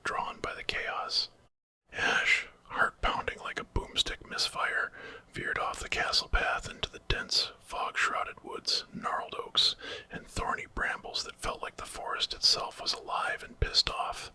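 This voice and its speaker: Male narrator for horror ASMR: fully whispered, crisp sharp hiss, dramatic scripted read. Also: very high voice. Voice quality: breathy, unvoiced whisper. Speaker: storyteller, narrator.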